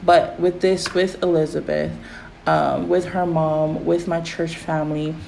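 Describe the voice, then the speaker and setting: A woman hosting a casual faith podcast, with a raspy voice and a rambling manner full of fillers. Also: low-pitched, unhurried, slight background noise, rough recording. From Pacific Islands. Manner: rambling, many fillers, animated when excited. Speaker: podcast host.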